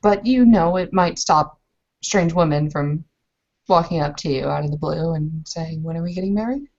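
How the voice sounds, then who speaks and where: A woman playing a dry, guarded young bride in a tabletop roleplay, speaking deliberately and with pauses. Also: low-pitched, a little mellow, dull audio. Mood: wry, guarded. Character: dry, guarded young Bavarian noblewoman bride, werewolf kinfolk.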